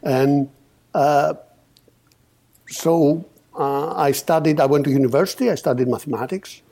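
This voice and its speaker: A man in his fifties from Greece, a podcast guest telling his story with many pauses and restarts. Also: medium pitch, dark tone. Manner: hesitant, many uhs and restarts. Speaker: podcast guest, company founder. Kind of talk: casual storytelling interview.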